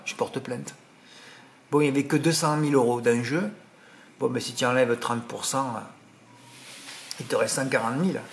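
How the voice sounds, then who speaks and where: A man with a regional French accent talks at a middle pitch, rambling and indignant as he gives his racing tips. He keeps tossing in little tag words. A faint hiss sits under the recording. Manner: indignant, rambling, full of "hein" and "voilà". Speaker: horse racing tipster. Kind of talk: casual monologue to subscribers.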